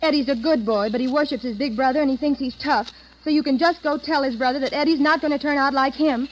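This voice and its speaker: Lady acting as nervous protective american gal, highish voice, talks fast, old radio drama. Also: close to an everyday voice. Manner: guarded, earnest. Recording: muffled, noisy old radio recording.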